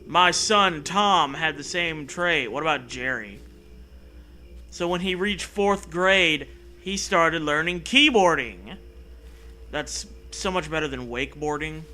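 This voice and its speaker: High-voiced man, piercingly bright, hosting comedy: theatrical, sweary, sarcastic story reading with joking asides. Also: expressive, slow pace, slight background noise. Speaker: comedy show host. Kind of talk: mocking read-aloud of a story with joking asides.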